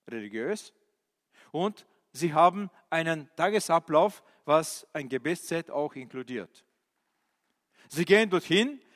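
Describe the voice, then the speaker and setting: A male preacher, a non-native German speaker, giving an animated sermon with many questions to his listeners and hesitant fillers. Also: a little high. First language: not German. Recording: reverberant hall.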